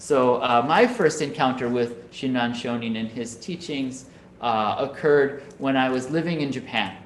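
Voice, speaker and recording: A man's voice: medium pitch, unhurried, echoey room, a little hiss or hum. A man from Minnesota, a Buddhist minister, giving a conversational Dharma talk over a video call and often checking in with listeners. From Minnesota, USA. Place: home room on a video call.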